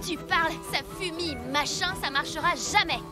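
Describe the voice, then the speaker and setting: A woman voicing a bossy, scheming and excitable prehistoric child in a French children's cartoon, with music behind. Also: very high-pitched, moderate pace. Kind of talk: French animated series dubbing. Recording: music under the voice.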